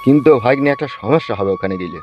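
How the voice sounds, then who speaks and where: Man voicing a worrying, fast-talking cartoon uncle. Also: mid-range voice, expressive, dull audio, soft background music, natural voice. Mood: hesitant. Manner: hesitant, cautionary. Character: cautious, worrying uncle, homeless desert wanderer. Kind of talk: comedy cartoon dialogue.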